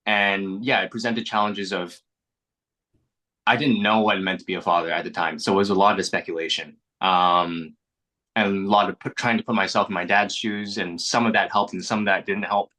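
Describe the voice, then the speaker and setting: Young adult man, first language Mandarin, very low bright voice; earnest interviewee, reflective and halting. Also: fairly even intonation. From Canada. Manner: reflective, earnest, frequent restarts and repeated words. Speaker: actor being interviewed. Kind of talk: casual interview chat.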